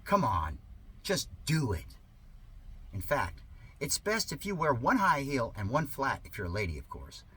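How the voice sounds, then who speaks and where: A man's voice: medium pitch, wildly swooping pitch, measured pace, sharp tone, breathy edge. A man reading a satirical monologue aloud in a sarcastic, mock-earnest, theatrical way with exaggerated emphasis. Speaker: reader of a satirical article.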